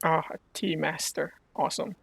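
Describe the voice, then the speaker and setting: Gamer lady chatting over a game, raspy and creaky, casual reactions. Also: low voice, fairly even intonation, normal speed. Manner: reacts aloud, reads dialogue options. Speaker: game streamer. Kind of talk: casual gameplay commentary.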